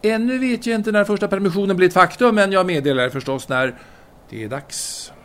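An actor playing a self-satisfied, evasive French writer in army service, voicing a letter in an audio drama in a gravelly, hesitant, formal way. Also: male voice, high voice, lively intonation, a bit bright. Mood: awkward hesitation. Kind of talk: audio drama monologue, letter read aloud.

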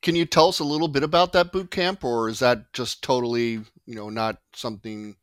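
A man hosting an interview, asking curious, lively questions. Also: mid-range voice, a little fast, clear, resonant tone, dry recording, clean studio sound. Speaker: interview host.

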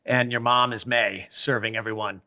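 A man hosts a podcast interview in an upbeat, playful way. His voice is bright and sharp at a middling pitch, and he jumps in with quick remarks. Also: steady tone. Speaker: podcast host. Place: hotel interior.

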